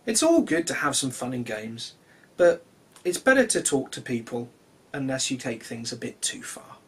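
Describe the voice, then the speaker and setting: A man in his thirties reading children's stories expressively, with deliberate dramatic pauses, recorded in a living room. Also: mid-range voice, sing-song, fairly bright tone, slightly rough. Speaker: children's storyteller. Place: small cluttered living room, indoors.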